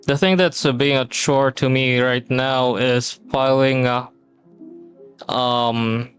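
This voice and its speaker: Young guy from the philippines rambling on stream, drawls slow, lots of ums, mid pitch. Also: clear, resonant tone. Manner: relaxed, rambling, many ums and restarts. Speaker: streamer and teacher. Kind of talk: casual chat while drawing on stream. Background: soft background music.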